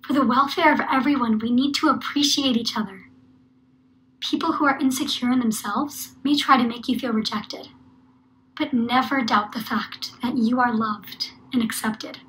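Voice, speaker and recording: Bright, high-voiced woman reciting poetry. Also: normal speed, a little hiss or hum, rough recording. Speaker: poet reciting at a poetry slam. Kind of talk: spoken-word poetry recitation.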